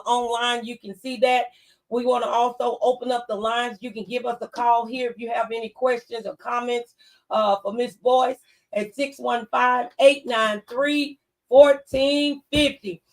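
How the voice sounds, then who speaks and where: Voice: mid-range voice, fairly even intonation, measured pace, low-quality recording. An American talk show host interviewing a guest live, with emphatic, announcer-like introductions.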